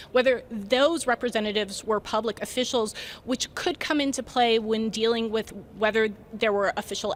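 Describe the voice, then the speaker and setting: A woman with a fairly high voice reports live on a remote feed. She explains the story in emphatic, deliberate phrases, with light background noise under her voice. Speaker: news reporter.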